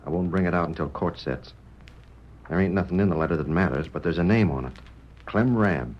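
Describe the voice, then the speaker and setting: An actor playing a desperate, wrongly accused prisoner in a 1930s radio drama, speaking in a rough frontier dialect. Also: male voice, low-pitched, a little fast. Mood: aggrieved. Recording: dull old radio recording with faint hiss.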